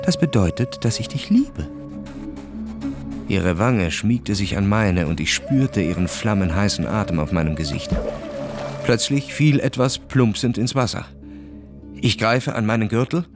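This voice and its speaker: An actor playing an officer who recounts his adventure in measured, dramatic literary radio narration. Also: on the low side, natural voice. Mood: tense.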